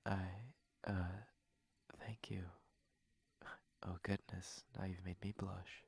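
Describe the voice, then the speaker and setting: A shy, well-mannered prince, acted by a man for an intimate ASMR roleplay. His voice is low and breathy with a creaky edge, often sinking into a whisper. He speaks gently and formally, hesitating in a flustered, polite way. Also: level tone, slow pace, exaggerated voice. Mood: tender, bashful. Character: courteous, shy, flustered young prince at a masquerade.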